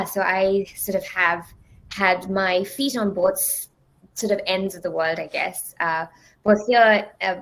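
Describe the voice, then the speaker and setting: Young woman from Sri Lanka, video call. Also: lowish voice. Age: young adult. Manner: soft-spoken, many ums and hedging "sort of". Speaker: guest, learning anthropologist. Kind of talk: casual video-call chat. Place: home room. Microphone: video call, laptop mic.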